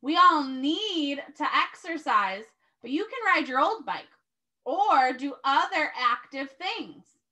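A patient, friendly storybook dad played by a woman explains things with clear emphasis. The voice is high and bright, with very lively swings in pitch. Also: takes it slowly, heavily acted. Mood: patient, explaining. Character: friendly, patient dad teaching his young daughter. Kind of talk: children's storybook read-aloud.

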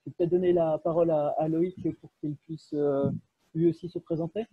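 A man opens a webinar from his office over a video call, stammering over repeated words between fillers. His fairly high voice sounds husky through a thin, phone-like line, with no echo. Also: level tone, fairly quick. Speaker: webinar co-presenter. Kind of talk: hesitant webinar presentation.

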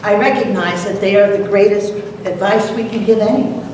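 A mature woman giving a prepared talk and dramatic poetry recital with deliberate, theatrical delivery. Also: mid-range voice, steady tone, darkish tone. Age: middle-aged or older. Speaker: presenter, dramatic reader. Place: lecture hall, at a podium. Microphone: far camera. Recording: echoey noisy hall from far off.

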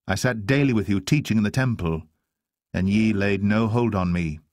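A man voicing a grave, authoritative teacher in a solemn, measured, exhorting manner. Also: on the low side, darkish tone, dry recording, studio quality, close to an everyday voice. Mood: firm reproach.

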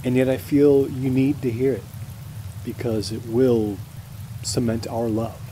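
A man's voice: medium pitch, little pitch movement, a little slow, close to an everyday voice, poor audio. A man playing a wry, lazy, affectionate husband in an audio drama, sardonic and playfully dramatic. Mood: wry, bored. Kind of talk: naturalistic audio drama acting. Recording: loud rain and background noise.

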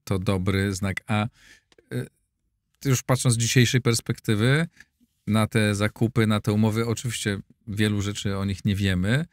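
A man's voice: fairly low pitch, fairly even intonation, moderate pace, no room sound. A middle-aged man hosting an interview in a studio, asking long, searching questions. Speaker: podcast host. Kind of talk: conversational interview. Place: studio with blue backdrop. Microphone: studio mic on boom arm.

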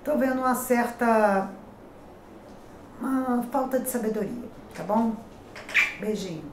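A woman's voice: fairly high pitch, measured pace. Brazilian lady reading tarot horoscopes, rio accent, chatty and advising, roomy echo and faint hiss. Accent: Rio de Janeiro Portuguese. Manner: chatty, warns and advises, calls out each sign with lifted voice. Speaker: tarot reader and horoscope host. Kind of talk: daily horoscope reading to camera. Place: pink-walled room at a table with cards. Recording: echoey room, faint noise.

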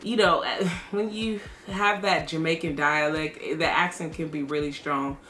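A young woman talking to camera at home in a casual vlog, relaxed and explaining, laughing easily. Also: deep voice, unhurried, echoey room, slight background noise. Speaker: vlogger. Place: living room at home.

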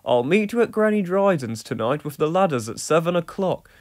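A friendly village postman chats cheerfully, voiced by a woman reading a storybook. He sounds easygoing and good-humoured, with a low voice. His speech stays lively and bouncy. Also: a little fast.

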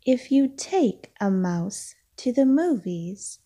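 A woman reading a children's storybook aloud, with deliberate, expressive phrasing for young listeners. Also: fairly high pitch, slightly rough. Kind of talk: read-aloud storybook narration.

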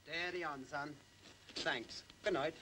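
An actor playing a steady, fatherly police sergeant in a 1940s British film. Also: fairly high pitch, slow, a little hiss or hum, rough recording. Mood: calm, authoritative. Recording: old film soundtrack under loud music.

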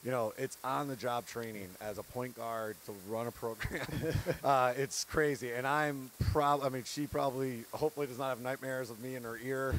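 A man's voice: medium pitch, steady tone, normal speed, hardly any pauses, a bit bright, slight background noise, poor audio. A man in his thirties, a head basketball coach, giving an earnest, candid post-game interview on a handheld mic. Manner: earnest, candid, laughs between points. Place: courtside set in an empty arena.

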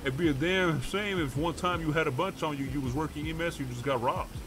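A man's voice: a little high, brisk, noisy background. A man roleplaying a pushy, streetwise, profane character in a game, talking in slang. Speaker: roleplay gamer streaming.

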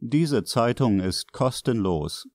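A man's voice: medium pitch, lively intonation, slow, a little mellow, no room sound, studio quality. A man reading short scripted sentences as the narrator of a language lesson. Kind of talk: scripted sentence translations read out.

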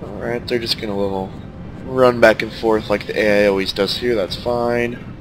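A man with a stuffed-up, nasal voice giving relaxed, casual commentary while playing a strategy game. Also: fairly low pitch, normal speed, noisy background. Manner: laid-back, thinking aloud as he plays. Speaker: let's play commentator.

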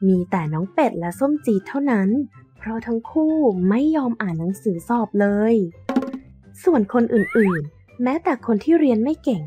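A woman's voice: a little high, big pitch swings, normal speed, mellow, soft background music. A woman narrating a scripted story for children as a storyteller.

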